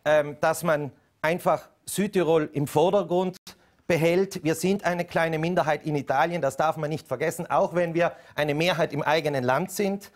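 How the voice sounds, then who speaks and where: A man in his forties argues his case firmly in a televised studio panel, speaking into a lapel mic. His voice sits a bit high for a man. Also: fairly even intonation, moderate pace. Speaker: election candidate. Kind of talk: TV panel debate answer.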